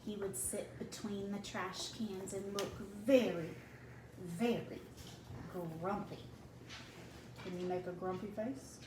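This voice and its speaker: Lady doing slow storytime read aloud for little kids, chats to them between lines, echoey room w background noise. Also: mid-range voice, fairly even intonation. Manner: slow, deliberate phrasing for children. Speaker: teacher reading a picture book to children. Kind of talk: read-aloud storytelling with asides to young listeners. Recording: roomy, distant mic with clear background noise.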